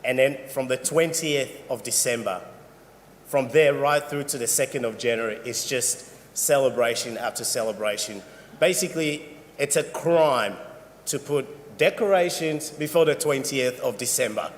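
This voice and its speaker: A man's voice: mid-range voice, normal speed, in a large hall, slight background noise. Laughing man from West Africa. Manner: laughs a lot, playful. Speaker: guest. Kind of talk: casual storytelling.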